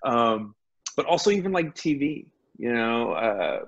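A man in his thirties from Texas, a guest on a video call from home, telling his story in a relaxed, self-deprecating way. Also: medium pitch, unhurried, creaky, muffled recording. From Laredo, Texas. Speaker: guest, addiction counselor. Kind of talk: personal recovery story. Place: home room.

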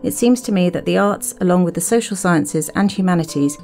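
A woman hosting a podcast, reading scripted narration and putting questions in a measured, clearly phrased way. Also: lowish voice, warm tone, soft background music. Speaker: podcast host, interviewer.

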